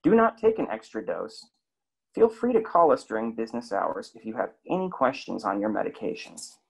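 A man's voice: medium pitch, big pitch swings, fairly quick, vocal fry, breathy edge, poor audio. A man reading a scripted health lesson for a clinic slideshow, explaining steadily and putting questions to the listener.

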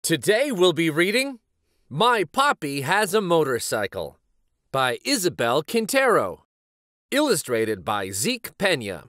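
Animated man reading a picture book. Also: a little high, no echo, clean studio sound. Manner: animated, deliberate storytelling delivery. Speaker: children's read-aloud host and storyteller. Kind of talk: expressive picture book narration.

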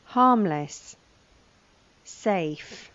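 A woman's voice: medium pitch, animated, very slow, a bit bright, no echo, lo-fi sound. A woman giving scripted lesson narration, reading vocabulary and a news report. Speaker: language lesson presenter.

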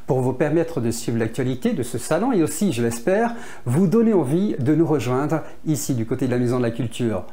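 Mellow-voiced man presenting, lively pitch. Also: fairly quick, hardly any pauses. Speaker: magazine presenter. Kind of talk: scripted magazine presenting to camera. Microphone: lapel mic.

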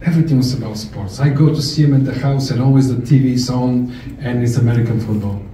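Middle-aged Bulgarian-accented man, dark mellow tone, animated memorial talk, heard from across a reverberant hall. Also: mid-range voice, fast talker. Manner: animated, gesturing, laughing storyteller. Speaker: eulogy speaker, missionary. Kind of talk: memorial speech. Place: large event hall, indoors. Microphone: handheld mic through room speakers. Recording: far-off echoey hall, faint noise.

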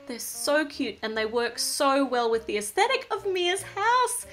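A woman's voice: high voice, very animated, unhurried, a bit bright. A woman casually narrating a toy build at a table, thinking aloud and gushing over the cute little details.

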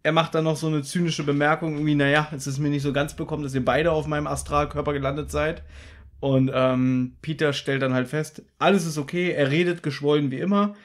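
A man's voice: fairly high pitch, steady tone, brisk. A man co-hosting a podcast, casually retelling a story and bantering. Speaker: podcast co-host.

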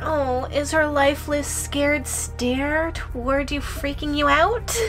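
A woman's voice: sits high, steady tone, fairly bright tone, soft background music. A woman playing a sadistic, obsessively jealous giantess in an ASMR audio roleplay, gloating and teasing. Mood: gloating, possessive. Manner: gloating, teasing, drawn-out delivery.

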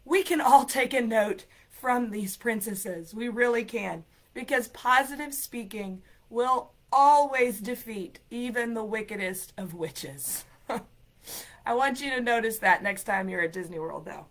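A woman hosts a livestream from her home room through a webcam. Her slightly high voice is raspy from a cold, and she talks in an animated, chatty, playful way, telling stories to her viewers. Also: a little slow. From United States. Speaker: ESL program coordinator and show host. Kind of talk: livestream talk and storytelling. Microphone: laptop webcam, video call framing.

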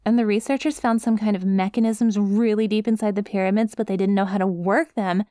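A woman's voice: medium pitch, fairly even intonation, fairly quick, dark tone, dry recording, studio quality, close to an everyday voice. Scatterbrained, friendly dog-girl study buddy, acted by a woman. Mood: sheepish, rambling. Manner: casual, rambling, hesitant, easily sidetracked. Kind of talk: ASMR roleplay, one-sided conversation with listener.

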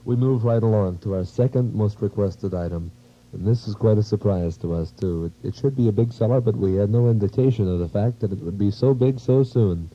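A muffled, lo-fi radio recording from the 1950s carries a low-pitched American man. He is a disc jockey introducing records in practiced patter, punching the starts of his phrases and tossing in catchphrases. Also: a little fast, breathy edge. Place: radio studio. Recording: dull lo-fi 1950s radio aircheck.

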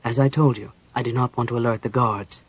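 A man playing a cold, controlled, scheming archmage in a fantasy audiobook, speaking in a measured, formal, commanding way. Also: mid-range voice, fairly even intonation, husky, close to an everyday voice. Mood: persuasive, grave.

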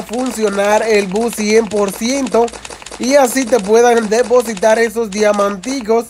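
A man's voice: extremely high pitch, a little mellow, slight background noise. A man narrating a casual mobile game tutorial, explaining step by step with frequent repetition and informal asides to viewers. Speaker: gaming video creator.

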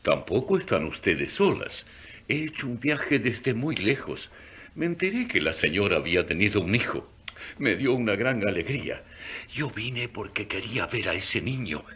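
An actor playing a kindly, hopeful old wandering beggar in a radio drama, with a husky voice. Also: male voice, fairly low pitch, big pitch swings, normal speed, a bit bright, dull audio, heavily acted. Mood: earnest, warm. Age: older.

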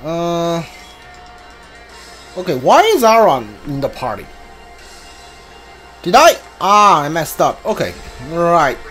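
A man's voice: fairly high pitch, sing-song, slow, pauses often, a bit bright, soft background music. A man giving casual live gameplay commentary, muttering to himself and correcting himself as he plays. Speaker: gamer, streamer.

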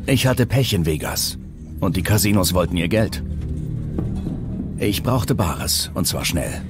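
Over background music, a reckless gambler and ex-convict telling his own story for a documentary. His voice sits fairly low and moves around in lively swings. He sounds like a man confessing with some regret. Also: a little slow. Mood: rueful confession. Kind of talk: documentary voice-over dub, first-person account.

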